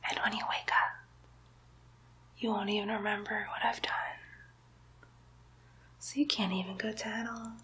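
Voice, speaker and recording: A woman's voice: medium pitch, little pitch movement, sharp tone. A woman voicing a mischievous young demon girl posing as a nurse, whispering coaxingly and teasingly in an ASMR roleplay. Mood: gleeful, mocking. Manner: slow, coaxing, teasing whisper with playful baby talk.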